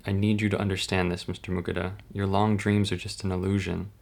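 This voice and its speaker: A man playing a measured hospital doctor in a horror manga dub, with a reserved, clinical manner. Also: deep voice, flat delivery, vocal fry. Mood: calm, clinical.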